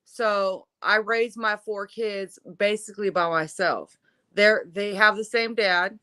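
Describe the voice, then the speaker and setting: A woman's voice: medium pitch, steady tone, takes it slowly, bright, forceful tone, no room sound. A woman in her forties telling her personal story as an interview guest, conversational, emphatic and self-assured. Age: 40s.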